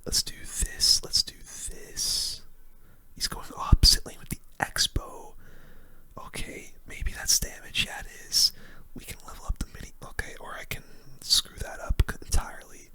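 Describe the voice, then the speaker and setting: A person giving casual, slangy, mildly grumbling gaming commentary in a breathy ASMR whisper. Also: moderate pace, sharp tone. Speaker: gaming video host.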